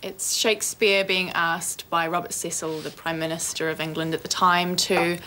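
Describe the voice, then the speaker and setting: A woman's voice: lowish voice, level tone, moderate pace, bright, forceful tone, slight background noise, poor audio. A woman casually explaining things as a guest actress in an interview. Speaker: stage actress being interviewed.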